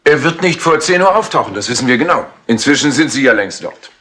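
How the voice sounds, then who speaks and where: Harried, sharp-voiced colonel. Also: male voice, medium pitch, lively intonation, fast talker. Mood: worried, impatient. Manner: fussy, exasperated, hesitant. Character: harried, impatient male colonel, worried senior official. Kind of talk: 1960s German radio crime play acting. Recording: noisy old 1960s tape recording.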